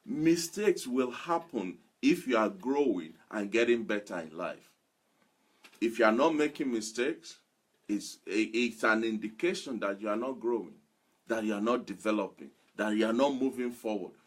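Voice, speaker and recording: Husky low-voiced male motivational preacher. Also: expressive. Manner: emphatic, deliberate repetition of phrases. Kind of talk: motivational sermon.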